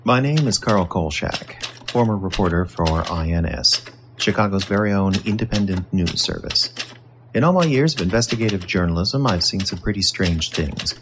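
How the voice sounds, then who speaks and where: A man's voice: low-pitched, animated, normal speed. An actor playing a retired, world-weary older male newspaper reporter, narrating an old-time radio drama in a hard-boiled manner. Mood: wry, dramatic. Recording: noisy background with typewriter clicks.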